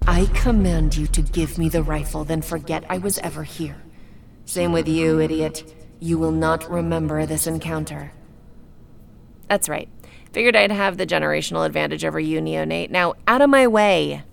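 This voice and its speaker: Imperious, gravelly teenage vampire girl. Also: deep voice, moderate pace, a little hiss or hum. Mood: commanding, smug. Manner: haughty, commanding. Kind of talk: audio drama acting.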